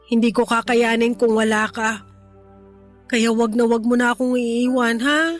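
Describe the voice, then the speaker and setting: A woman's voice: a little high, level tone, unhurried, soft background music, close to an everyday voice. A woman playing a repentant, affectionate middle-aged mother in an emotive Filipino radio drama. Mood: loving.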